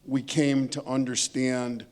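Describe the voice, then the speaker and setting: A man's voice: mid-range voice, little pitch movement, takes it slowly, a little mellow. A man on a discussion panel, speaking unscripted and thoughtfully about literature, emphatic and rephrasing as he works toward his point.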